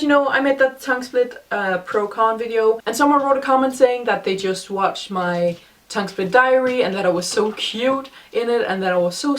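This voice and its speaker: A young woman with a deep voice for a woman, talking casually and rambling as she reacts to her old vlog. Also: distant mic. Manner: relaxed, rambling, many fillers.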